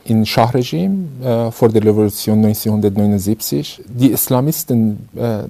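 A middle-aged man with an Iranian accent gives a personal testimony in halting German, full of pauses and fillers. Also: medium pitch, creaky, warm tone, no room sound. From Iran. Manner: halting, many fillers and restarts. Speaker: interviewee.

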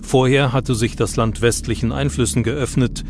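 A man narrates a documentary in a steady, scripted read at a middle pitch. Faint music runs underneath. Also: normal speed.

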